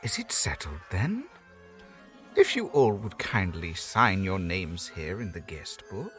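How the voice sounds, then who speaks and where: An actor playing a theatrical, courtly host who runs a haunted asylum in an audio drama, speaking in a grand, sweeping manner. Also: male voice, sits low, big pitch swings, slow, fairly bright tone, full character voice. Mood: welcoming. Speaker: asylum owner character. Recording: faint music underneath.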